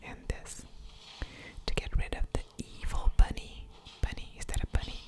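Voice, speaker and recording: A woman hosting a gaming ASMR session, whispering softly with mouth sounds as she comments on a card game. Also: normal speed.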